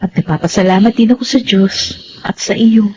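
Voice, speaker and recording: A woman's voice: medium pitch, normal speed, warm tone, lightly acted. Actress as a sincere, cautious young woman, muffled. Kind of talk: Filipino radio drama acting and narration. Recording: slightly muffled, faintly noisy radio recording.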